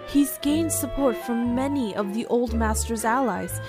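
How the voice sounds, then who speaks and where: A woman's voice: on the high side, measured pace, lightly acted. A woman playing a playful, bossy, affectionate tease with theatrical delivery in an anime-style drama. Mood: composed. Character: teasing, affectionate, bossy woman, old acquaintance of swordsman. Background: music.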